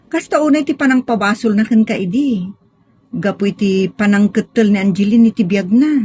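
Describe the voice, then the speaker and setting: A woman's voice: mid-range voice, moderate pace, mellow, breathy edge, close to an everyday voice. An actress playing a concerned wife who talks sweetly to her husband, in radio drama style.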